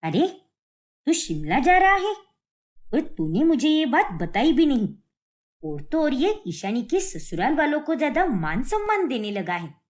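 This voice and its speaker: A woman's voice: sits high, big pitch swings, unhurried. Dramatic, plaintive middle-aged mother acted by a woman. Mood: hurt, resentful. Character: middle-aged mother, resentful, self-pitying, slowly repentant.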